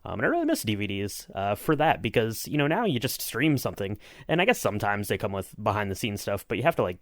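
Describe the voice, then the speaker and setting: A man's voice: on the low side, big pitch swings, quick pace, dry recording. A man co-hosting a podcast, talking in an enthusiastic, rambling, conversational way. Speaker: podcast co-host. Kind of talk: casual podcast chat.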